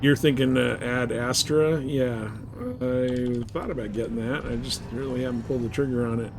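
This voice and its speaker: A man's voice: mid-range voice, steady tone, moderate pace. Casual male game streamer, faint background noise. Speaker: live streamer playing a space trading game. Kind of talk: casual chat with viewers while gaming.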